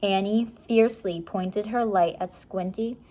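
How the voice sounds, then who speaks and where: A woman reading a children's chapter book aloud with measured, expressive storytelling. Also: mid-range voice. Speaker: story reader.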